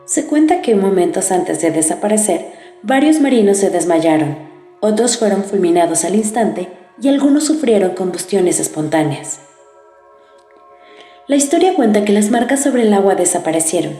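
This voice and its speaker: A woman reading a scripted narration in a steady, measured way. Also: medium pitch. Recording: roomy echo with faint music.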